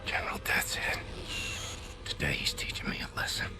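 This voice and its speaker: An actor playing a brooding, haunted American soldier in a video game, narrating his thoughts in terse fragments and a breathy half-whisper. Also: normal speed, close to an everyday voice. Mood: grim, haunted. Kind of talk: dramatic inner monologue in a video game. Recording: loud music over the voice.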